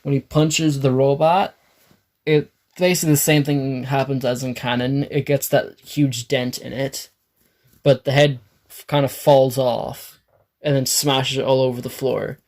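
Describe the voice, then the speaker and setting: A man with a raspy, dry throat, narrating an improvised fan story, rambling and correcting himself. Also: mid-range voice, level tone, a little slow, a little mellow. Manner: rambling, self-correcting, swears.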